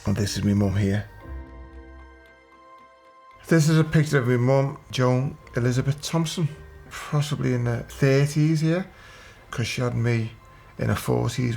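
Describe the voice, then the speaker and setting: A man's voice: mid-range voice, expressive, unhurried, creaky, darkish tone, faint music behind. A man, reflectively telling his family history in a documentary interview. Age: 50s.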